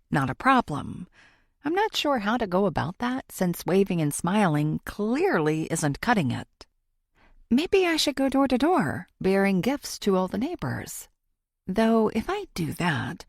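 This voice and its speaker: A woman playing a thoughtful, determined amateur sleuth, narrating a thriller audiobook in an introspective, expressive way. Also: fairly low pitch, vocal fry, no echo, clean studio sound, exaggerated voice.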